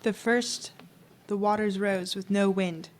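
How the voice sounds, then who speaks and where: A young woman plays a dead woman returned from the tomb to prophesy. She intones poetic radio-drama lines with sorrow and solemn reluctance, in a medium-pitched voice. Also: steady tone, unhurried, lightly acted. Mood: mournful, foreboding. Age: young adult. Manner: mournful, incantatory, solemn.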